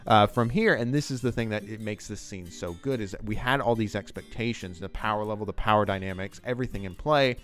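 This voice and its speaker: A man's voice: fairly low pitch, a little fast, hardly any pauses, fairly bright tone, faint music behind. A man giving enthusiastic, rambling commentary on a TV scene as the host of a video essay.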